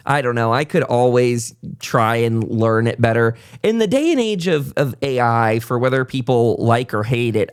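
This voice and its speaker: A man hosting a casual interview podcast, talking in a rambling, reflective way as he thinks aloud. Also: mid-range voice. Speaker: podcast host and content creator.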